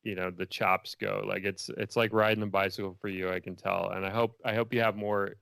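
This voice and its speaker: A lower-pitched man with a gravelly, husky voice talks casually as a podcast guest. He is informal and buddy-like, repeating himself for emphasis. The sound is close and dry. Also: flat delivery, fairly quick, fairly bright tone, no room sound. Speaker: podcast guest, independent filmmaker. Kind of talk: casual interview chat.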